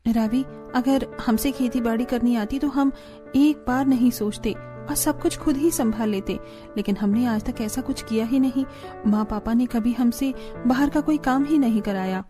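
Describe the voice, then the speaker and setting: A woman's voice: on the high side, normal speed, music in the background, natural voice. A woman voicing an earnest, dutiful young daughter-in-law, caring but firm, in a cartoon story. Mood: concerned. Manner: earnest, pleading. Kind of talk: Hindi cartoon story voice acting.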